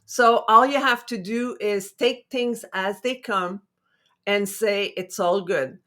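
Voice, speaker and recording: A woman's voice: medium pitch, fairly even intonation, takes it slowly, sharp tone. A middle-aged woman hosting her own talk show, chatty and upbeat, wandering a little as she explains her ideas. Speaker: show host. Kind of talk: casual talk-show intro.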